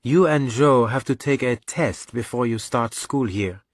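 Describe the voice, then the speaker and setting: A male narrator plays a mother in an audiobook, lifting the pitch. She is patient, firm and matter-of-fact, in a dry, close recording. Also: unhurried, no room sound. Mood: explaining. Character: calm, firm mother of two boys. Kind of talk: audiobook character dialogue.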